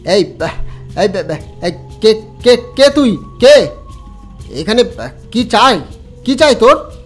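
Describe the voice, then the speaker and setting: A man's voice: very high voice, expressive, takes it slowly, faint music behind, pitched above the performer's own voice, full character voice. A man voicing a nervous, suspicious cremation-ground worker who stammers out questions in a horror audio story. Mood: frightened, challenging.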